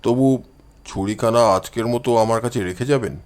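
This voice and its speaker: A man voicing a calm, modest, shrewd amateur detective with a measured, polite way of talking in an audio story. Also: medium pitch, clean tone, voice pushed down, lightly acted.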